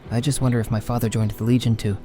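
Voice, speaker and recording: A troubled, defiant orphan boy, acted by a man in a fantasy audio drama. The voice is dark and soft-edged at an average male pitch, halting and defensive, with music behind it. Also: level tone, lightly acted. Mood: hurt, frustrated. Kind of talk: narrative fantasy horror audio roleplay.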